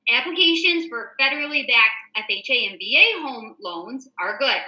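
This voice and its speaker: A woman's voice: fairly high pitch, animated, normal speed, pushed and bright. An American woman hosting a casual show, reading through news items and commenting in an informal, explanatory way. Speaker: show host and real estate agent. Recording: echoey room.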